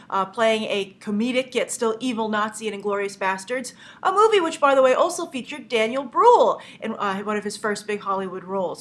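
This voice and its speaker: A woman's voice: a little high, a bit bright. A young woman with a cold and a stuffy nose, hosting a casual movie news show, chatty and opinionated, with lots of "uh" fillers.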